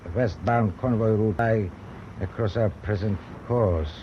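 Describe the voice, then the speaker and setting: An actor playing a commanding, watchful German sea captain in a radio drama. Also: male voice, fairly low pitch, unhurried, close to an everyday voice. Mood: measured, watchful. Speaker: ship's captain. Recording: muffled, noisy radio drama recording.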